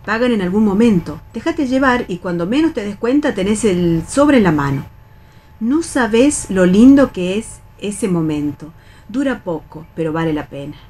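A middle-aged Argentine actress playing a bossy, resigned, wary factory worker of nearly sixty in a radio theatre reading, instructing and admonishing colloquially. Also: female voice, mid-range voice, sing-song, moderate pace, warm tone.

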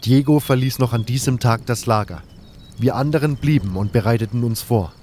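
A man's voice: medium pitch, brisk, darkish tone, a little hiss or hum. A man reading scripted first-person narration for an audio drama.

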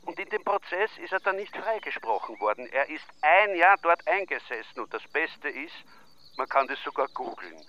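An actor playing a brisk, matter-of-fact police inspector with an Austrian accent in a radio crime drama. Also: male voice, fairly high pitch, animated, sharp tone, dull audio. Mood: amused, confiding. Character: brisk police inspector briefing on a murder case.

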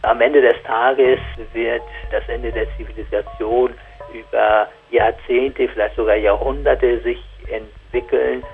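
Even-toned man, expert commentary, slightly dull sound. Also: mid-range voice, measured pace, gravelly, faint music behind. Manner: serious, explanatory, spontaneous phrasing. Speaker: scientific expert. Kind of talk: explanatory interview commentary.

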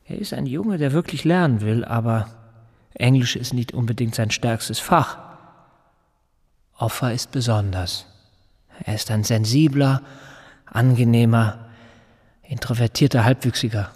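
An actor playing a self-assured English tutor and neighbour, with precise, measured wording, in a radio drama. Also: male voice, medium pitch, a little mellow, slightly rough. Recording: long reverberant room.

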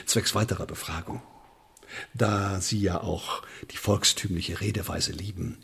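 An actor playing a menacing, sardonic Elizabethan English sheriff in a German radio drama, officious and mock-polite, with deliberate emphasis. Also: male voice, fairly low pitch, vocal fry, breathy edge, heavily acted. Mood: sardonic menace.

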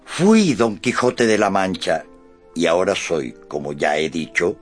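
A dying old gentleman in a radio drama, gentle and resigned. His solemn, weary declamation rises and sinks widely, over faint music. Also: deep voice, sing-song, unhurried.

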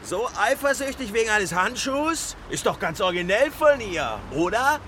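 A man's voice: high voice, lively intonation, normal speed, bright, forceful tone, slight background noise. A man acting a vain, defensive author in a radio drama lovers' quarrel, mocking and sarcastic.

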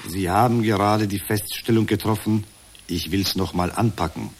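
An actor playing an unflappable counsellor with a husky voice and a measured, detached, formulaic delivery in a radio drama. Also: male voice, on the low side. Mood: unperturbed. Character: unflappable therapy counsellor machine parroting stock empathy phrases. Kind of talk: satirical radio drama acting.

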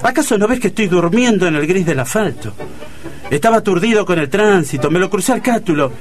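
High, whining older Buenos Aires father. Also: lively intonation, a little fast. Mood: plaintive, melodramatic. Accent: Buenos Aires Argentine. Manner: whining, plaintive, overwrought. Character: melodramatic, self-pitying older tango-loving father. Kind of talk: comic radio play acting.

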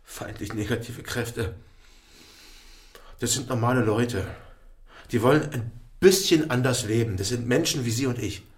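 A man's voice: medium pitch, unhurried, pauses often, breathy edge. A man playing a bitter, defiant East German prisoner who tells his story in a radio crime drama. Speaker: narrator and lead character. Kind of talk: radio crime drama acting and first-person narration. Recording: music under the voice.

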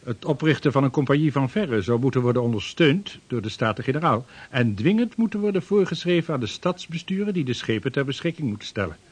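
Casting: male actor as a stiff trade official, breathy, radio play. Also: medium pitch, lively intonation, fast, few pauses. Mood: earnest. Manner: formal, deferential. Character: formal 16th-century Dutch trade official. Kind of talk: radio drama acting.

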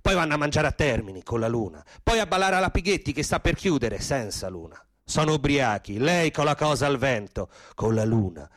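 A man's voice: mid-range voice, sing-song, brisk, hardly any pauses, a bit bright, no room sound. A man narrating a radio drama in a theatrical, declamatory, rhythmic scripted style. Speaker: actor-narrator.

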